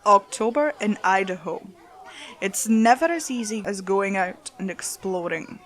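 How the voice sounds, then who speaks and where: A woman's voice: mid-range voice, unhurried. A woman reading a scripted horror story as its narrator.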